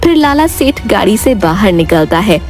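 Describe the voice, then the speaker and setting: A woman narrating an animated moral tale as scripted storytelling. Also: medium pitch, moderate pace. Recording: music behind the voice.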